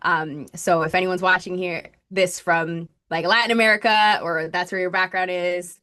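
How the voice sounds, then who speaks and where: Talkative woman in her 30s, from colombia, slightly low voice, rambles with lots of um and like. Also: steady tone, normal speed, sharp tone, clean tone. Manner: chatty, talks nonstop, many fillers and likes. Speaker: podcast guest, marketing strategist. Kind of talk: storytelling interview talk.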